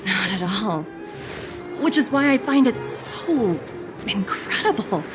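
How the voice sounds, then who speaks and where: A woman's voice: mid-range voice, animated, slow pace. A woman playing a poised, shrewd corporate executive in a video game, with articulate, formal, businesslike delivery. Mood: intrigued. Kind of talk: scripted video game cutscene acting. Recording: loud game music behind the voice. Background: music.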